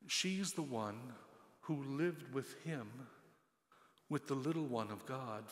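Older man, parish priest, homily at the ambo; unhurried, emphatic, roomy church sound. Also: medium pitch. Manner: slow, gesturing, emphatic pauses between listed words. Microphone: gooseneck pulpit mic. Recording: echoey church with long reverb.

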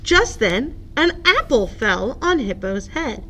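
A woman's voice: fairly high pitch, big pitch swings, slow, pushed and bright, a little hiss or hum. A woman narrating a children's picture book expressively, as a librarian at story time.

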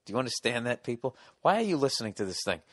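A man's voice: mid-range voice, big pitch swings, very fast, no echo, clean studio sound. Profane, ranting American man. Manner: profane, sarcastic ranting, swings loud and soft. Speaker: podcast host. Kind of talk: ranting solo podcast monologue.

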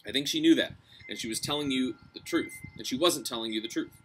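A man voicing a brave, cunning young girl, coaxing and deferential as she flatters. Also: medium pitch, slight background noise, natural voice, rough recording. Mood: earnest persuasion. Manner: coaxing, deferential, quick-thinking.

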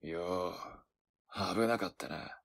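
A woman playing a gruff, impatient young man in an audio drama, curt and husky. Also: extremely low pitch, takes it slowly, heavily acted. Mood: gruff, probing.

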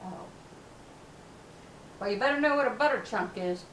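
A woman's voice: on the high side, expressive, normal speed, fairly bright tone. Teasing older woman, far from the camera. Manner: teasing, questioning. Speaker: friend helping cook. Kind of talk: casual kitchen chat. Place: home kitchen, indoors. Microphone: camera across the room. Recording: echoey kitchen, camera far off, noisy.

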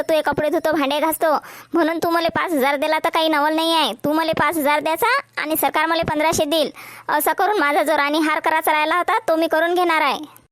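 A woman's voice: high-pitched, fairly bright tone, dry recording, studio quality. A woman voicing a cheeky, boastful young village woman in a comedy cartoon, arguing in a Vidarbha Marathi accent. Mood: demanding. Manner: argumentative, self-satisfied.

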